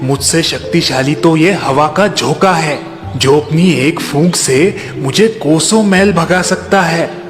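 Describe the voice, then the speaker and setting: A performer voicing a polite, flattering cloud or wind spirit in a children's cartoon, courteous and deferential. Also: mid-range voice, slow pace, music in the background, close to an everyday voice. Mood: placating, flattering. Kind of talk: children's cartoon story acting.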